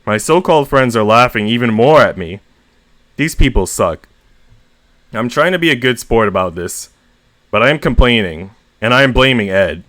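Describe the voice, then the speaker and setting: A man reading first-person short stories aloud as host, with expressive emphasis on the narrator's exasperation. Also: medium pitch, normal speed, dry recording. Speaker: story reader and host.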